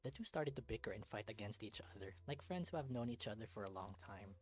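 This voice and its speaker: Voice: medium pitch, lively intonation, quick pace, breathy edge. A person reading visual novel narration aloud as a let's-read. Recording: music under the voice. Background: game music.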